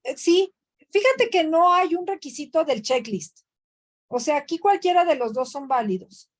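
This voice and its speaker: A woman's voice: high-pitched, animated, normal speed. A Mexican woman teaching a workshop over a video call, talking conversationally with many fillers and self-corrections. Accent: Mexican Spanish. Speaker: workshop instructor. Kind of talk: online training session.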